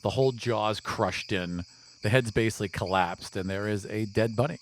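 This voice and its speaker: A man's voice: fairly low pitch, normal speed. A man working as game master, narrating a tabletop adventure in a casual, descriptive way.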